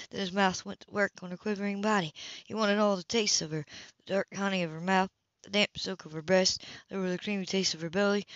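A woman reading a romance novel aloud as an amateur narrator, slightly halting, stumbling over words and repeating them. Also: medium pitch, a bit bright, no room sound. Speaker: amateur audiobook reader. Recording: dull, muffled lo-fi home recording.

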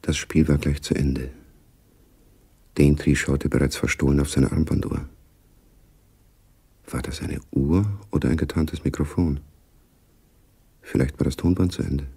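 A man's voice: extremely low pitch, steady tone, unhurried, creaky, pauses often, mellow, slightly rough, exaggerated voice. A man narrating a radio play spy story in a grave, knowing, literary manner. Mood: grave, watchful.

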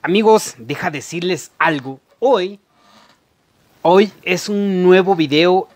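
A middle-aged man, chatting casually to camera in a halting, repetitive way as a vlogger. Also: sits high. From Guatemala. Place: indoor room at home.

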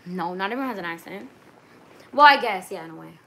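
Bright-voiced young woman, chatty and slangy. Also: medium pitch, animated, quick pace. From Dominican heritage. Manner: chatty, swears freely, slangy, lots of filler "like". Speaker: vlogger on a livestream. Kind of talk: casual livestream chat, reading out viewer comments. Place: bedroom at night, wrapped in a blanket. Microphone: webcam or phone at arm's length.

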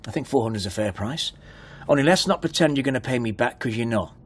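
An actor playing a guarded, sardonic motorist in a naturalistic radio drama, blunt and questioning in manner. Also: male voice, mid-range voice, animated, fast talker, husky. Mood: dry, probing. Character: guarded, sardonic male motorist sheltering a hitch-hiker.

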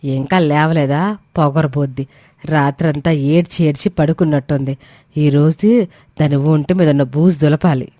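A bitter, domineering middle-aged mother-in-law played by a woman from a cartoon moral tale. She scolds with heavy sarcasm and pities herself, her voice sitting fairly low. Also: steady tone, moderate pace, mellow, dry recording, studio quality, lightly acted. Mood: contemptuous. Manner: scolding, sarcastic, self-pitying. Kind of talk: dramatized animated moral story.

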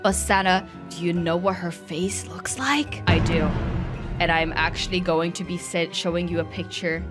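A woman's voice: fairly low pitch, steady tone, moderate pace. Theatrical young woman host over background music. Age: young adult. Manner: theatrical, playfully exaggerated. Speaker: gaming YouTuber, host. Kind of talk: playful casual chat, reading and answering viewer comments. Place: small indoor room set up for streaming. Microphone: close desk microphone with headphones.

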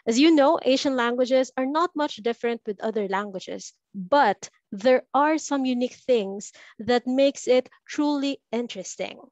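A woman's voice: sits high, normal speed, hardly any pauses, darkish tone, no room sound. A woman from the Philippines hosting an online language webinar over a video call, upbeat and welcoming. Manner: upbeat, welcoming, scripted-sounding. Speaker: host. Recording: muffled video call audio.

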